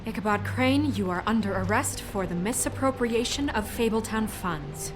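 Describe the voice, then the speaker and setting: A woman's voice: mid-range voice, animated, moderate pace, over music, natural voice. A woman voicing a stern, principled leader in a video game, firm and authoritative. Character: stern, principled woman newly in charge of an office.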